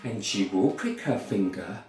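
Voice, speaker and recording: A man playing a gentle good storybook fairy, solemn, halting, protective, distant mic, heavy room echo. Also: fairly low pitch, lively intonation, faint music behind. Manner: slow, halting, solemn declarations. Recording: very echoey room, far from mic.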